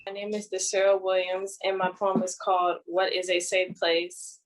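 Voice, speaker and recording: A woman's voice: mid-range voice, flat delivery, moderate pace, poor audio. A woman reading her own poetry aloud as a spoken-word recital. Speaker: poet reading own work.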